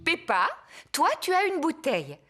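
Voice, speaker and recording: A woman voicing a kind mother pig in a cartoon, gently encouraging and explaining to little ones. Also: sits high, wildly swooping pitch, normal speed, faint music behind, exaggerated voice.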